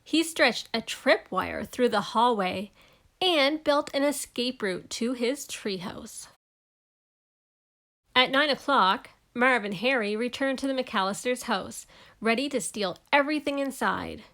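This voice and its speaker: Higher-voiced woman reading a children's story. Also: lively intonation, a little slow, a bit bright. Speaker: storybook reader. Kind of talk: expressive children's read-aloud narration.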